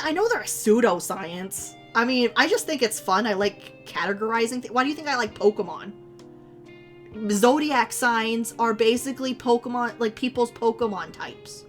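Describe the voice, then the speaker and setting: A woman's voice: fairly high pitch, lively intonation, normal speed, soft background music. A woman streamer chatting casually with her viewers, rambling and giggling, her voice a little worn as if she is losing it. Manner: giggly, rambling, self-teasing.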